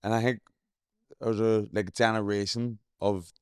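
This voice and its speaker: Host guy, lowish smooth voice, rambly questions, dry close mic. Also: flat delivery, measured pace, no room sound. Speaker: podcast host. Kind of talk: casual podcast chat.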